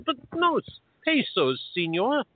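A man's voice: very high voice, wildly swooping pitch, slow, slight background noise, full character voice. A man playing a crafty, wary old settler in a radio play, with a hesitant, haggling manner. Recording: dry, tinny phone-like line.

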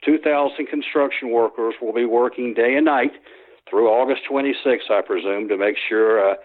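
A man's voice: medium pitch, moderate pace. A man, a sports historian, answering interview questions by phone, rambling with frequent restarts and fillers. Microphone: telephone. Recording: tinny phone line.

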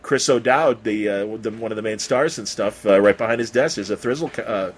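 A man hosting a casual podcast interview, talking in an effusive, rambling, halting way with some mild swearing. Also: fairly low pitch, slightly rough. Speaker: podcast host interviewing a guest.

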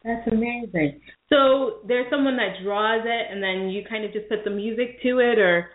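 A woman's voice: a little high, normal speed. A woman hosting a casual interview in an informal, enthusiastic way over a roomy, tinny connection. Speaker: interview host.

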